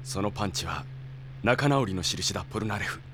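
An actor playing a level-headed, loyal young fighter in an audio drama, firm and composed even when urgent. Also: male voice, on the low side, brisk, noisy background, close to an everyday voice. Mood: calm resolve. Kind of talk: Japanese audio drama acting.

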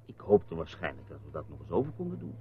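A man's voice: rumbling low, huge pitch swings, fast, a little hiss or hum, heavily acted. A man acting in a radio drama as a reporter husband, evasive and teasing, with a wry, placating manner. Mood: placating, wistful. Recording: dull, muffled radio play recording.

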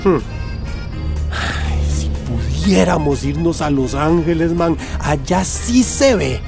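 A cocky young gang boss in a radio drama. His fairly high voice swings widely as he drawls slang and teases, with music playing behind him. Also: animated, slow, creaky, full character voice. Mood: teasing, carefree. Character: cocky, teasing young male gang leader.

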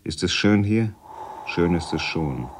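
Very deep-voiced man reading literary prose. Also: lively intonation, unhurried, noisy background. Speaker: reader and narrator. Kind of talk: scripted literary radio narration.

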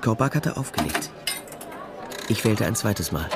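An actor playing a wry, laconic private detective in a German radio crime drama, narrating and talking dryly. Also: male voice, fairly low pitch, animated, unhurried, very noisy. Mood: dry narration. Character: Nestor, wry, dogged male private detective. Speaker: detective narrator and lead character.

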